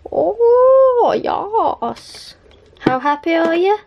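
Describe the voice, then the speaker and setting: A woman's voice: extremely high pitch, big pitch swings, extremely slow, vocal fry, dull audio, a little hiss or hum. A woman, chatting in a rambling, giggly way while vlogging from a bedroom at night. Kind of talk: casual chat. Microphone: handheld camera.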